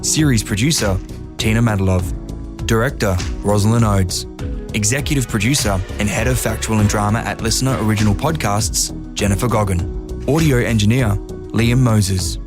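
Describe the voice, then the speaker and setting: A male announcer with a fairly low voice reads scripted credits. His delivery is measured and formal, set over prominent music.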